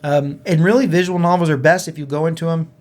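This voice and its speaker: Higher-voiced man in casual podcast chat. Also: steady tone, fast talker. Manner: informal, thinking aloud, conversational. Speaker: podcast co-host. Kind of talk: casual conversational podcast chat about gaming.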